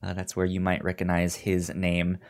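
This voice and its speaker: Low-voiced man chatting haltingly. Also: completely flat delivery, darkish tone. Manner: halting, stammering restarts. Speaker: podcast host. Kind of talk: casual chat about comics and film.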